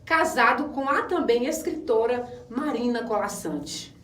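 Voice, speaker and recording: A woman's voice: fairly high pitch, animated, unhurried, a bit bright. A woman with a Brazilian accent, an actress delivering a scripted theatrical monologue presentation. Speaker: stage actress. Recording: echoey room, distant microphone.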